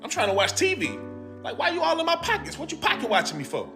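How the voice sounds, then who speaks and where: An indignant ranter who talks fast with a lively, bright voice that swings widely in pitch. The rant is loud throughout. Faint guitar music plays behind. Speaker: ranting vlogger.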